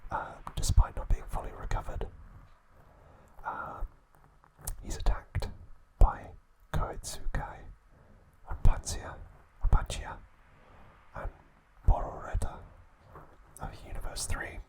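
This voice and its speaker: A man whispers softly and breathily, as an ASMR host would. He takes his recap very slowly, with gentle, halting phrasing that stays hushed throughout. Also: rough recording.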